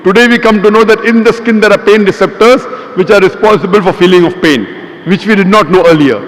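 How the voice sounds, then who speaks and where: A man's voice: sits high, fast talker. A man answering an audience question in a public lecture, explaining step by step, citing verses and repeating points in lists. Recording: long hall reverb.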